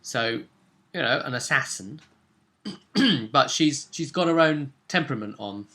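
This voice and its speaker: A man's voice: medium pitch, lively intonation, slow pace, bright, forceful tone. A man casually recapping a tabletop role-playing session as game master, chatty and often ending on tag questions.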